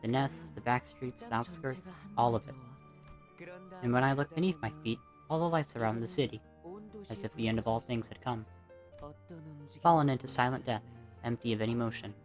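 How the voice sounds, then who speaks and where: Narrator acting calm, solemn philosophical schoolboy. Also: medium pitch, moderate pace, long pauses, soft background music, close to an everyday voice. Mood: solemn, dreamy. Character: Damien, calm, mature, philosophical solitary schoolboy.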